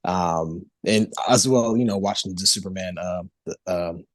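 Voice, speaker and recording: A man in his thirties with a very deep voice, talking as a voice actor guest in a casual interview, rambling with many fillers and restarts. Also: measured pace, no room sound, clean studio sound.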